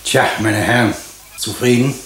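An actor playing a brisk, authoritative medical professor in a radio drama, asking curt questions as the one in charge. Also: male voice, medium pitch, steady tone, a bit bright, a little hiss or hum, close to an everyday voice. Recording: roomy echo.

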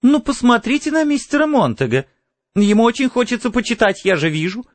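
A silly, affected housewife from an audiobook, put on by a man, chattering in a high voice. She coaxes with brittle cheer and lively swoops, over soft music. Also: fairly quick, dry recording. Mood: coaxing.

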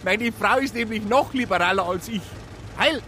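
A strikingly high-voiced, hearty man from provincial Bavaria. He talks in folksy dialect with a bright, forceful edge, in a radio play with faint outdoor traffic noise around him. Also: moderate pace. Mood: hearty, chummy. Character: jovial provincial Bavarian man, delighted car buyer.

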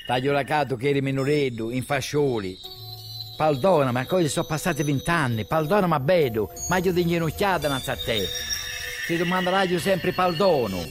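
A man's voice: fairly high pitch, brisk, close to an everyday voice. A man playing a remorseful wandering father with a Sardinian accent, pleading and declaiming in dialect in an audio drama. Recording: music behind the voice.

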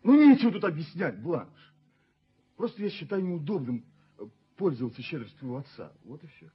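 An actor playing an earnest, evasive young fiancé in a radio play, halting and repeating himself, stubborn and easily flustered. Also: male voice, on the high side, very animated. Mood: evasive, uneasy. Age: young adult. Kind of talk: Soviet radio play acting. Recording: dull, muffled 1970s radio recording.

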